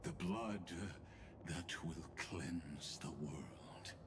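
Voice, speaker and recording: A man's voice: low-pitched, drawn-out words, background noise. A man playing an ancient, theatrical, sinister schemer in a video game, with whispery, grandiose delivery. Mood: solemn, scheming. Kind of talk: video game dramatic voice acting. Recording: music behind the voice.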